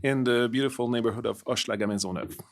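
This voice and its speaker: A man talks as a panelist. His voice sits at a medium pitch, and he speaks spontaneously to the room. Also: fast talker. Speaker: panelist, harm reduction worker.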